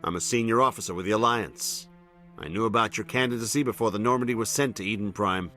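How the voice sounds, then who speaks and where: Senior military admiral for a game: lower register, frustrated, formal yet blunt, light music underneath. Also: male voice, fast, bright, forceful tone. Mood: formal, approving. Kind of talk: video game voice acting.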